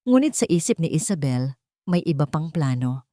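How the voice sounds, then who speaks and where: A woman's voice: low-pitched, sing-song, moderate pace, dark tone, dry recording, studio quality. A woman storyteller reading a scripted dramatic audio story as narrator.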